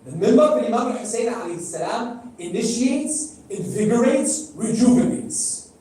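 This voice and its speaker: A man's voice: very high voice, lively intonation, normal speed, mellow, a little hiss or hum. A middle-aged man whose first language is Arabic, preaching a sermon and speaking directly to the audience, asking them questions and repeating phrases for emphasis. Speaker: speaker at a religious gathering. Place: large hall. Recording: echoey hall, heard from a distance.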